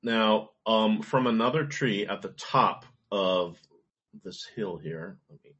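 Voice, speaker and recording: Deep husky game master. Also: male voice, a bit bright, dull audio. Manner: easygoing, halting with fillers. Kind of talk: narrating a tabletop game.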